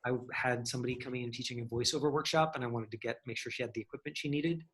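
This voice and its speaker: A man's voice: medium pitch, steady tone, very fast, a bit bright, a little hiss or hum. Rambling man teaching an online acting class. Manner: rambling, hedging, thinking aloud. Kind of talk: casual online class question-and-answer.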